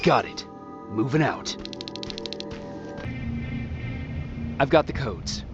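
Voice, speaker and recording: An actor playing a terse, earnest resistance soldier in a video game. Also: male voice, medium pitch, animated, unhurried, dull audio, over music, lightly acted. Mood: focused, tense. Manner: terse, questioning. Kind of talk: video game cutscene acting.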